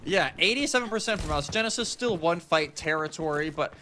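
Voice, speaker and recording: A man's voice: fairly high pitch, lively intonation, a little fast, noisy background. A man giving live analytical commentary on an esports match.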